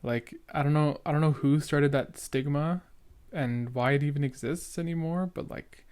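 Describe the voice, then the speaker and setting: Young man talking candidly, slightly halting. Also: on the high side, fairly even intonation, darkish tone, clear, resonant tone. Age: young adult. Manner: halting, stuttering, repeats words. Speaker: podcast host reacting to an old episode. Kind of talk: casual reaction commentary.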